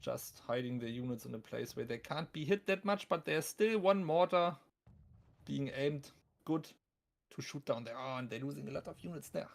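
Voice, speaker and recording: A man's voice: medium pitch, lively intonation, moderate pace, vocal fry. A man with a thick German accent doing live esports commentary on a game, casual, joking and self-deprecating as he talks to his viewers.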